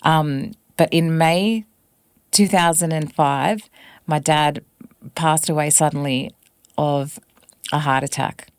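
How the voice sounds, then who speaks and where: A woman in her forties from West Papua, not a native English speaker, speaks in a low register. She talks earnestly and reflectively. The recording is close and dry. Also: slow, long pauses, smooth, no room sound. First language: a West Papuan language. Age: 40s. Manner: earnest, reflective, animated on family memories. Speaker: singer-songwriter guest. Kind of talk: personal storytelling in an interview.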